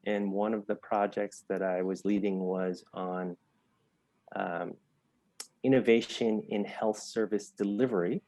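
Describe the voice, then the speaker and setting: Low-voiced American man, measured and explanatory. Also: dark tone, no room sound. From United States. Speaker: interview guest, health entrepreneur. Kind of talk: thoughtful interview answers.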